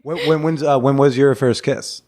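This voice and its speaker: A young American man hosts a relaxed podcast, firing off curious, teasing questions at his guest. He often stumbles into his questions with quick restarts, and his voice sits at an everyday middle pitch. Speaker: podcast host. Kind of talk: casual conversational interview.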